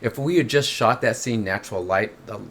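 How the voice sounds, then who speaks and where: Animated man explaining filmmaking gear. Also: on the low side, a little fast, a little hiss or hum. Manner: animated, explains step by step, teasing asides. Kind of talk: casual instructional chat.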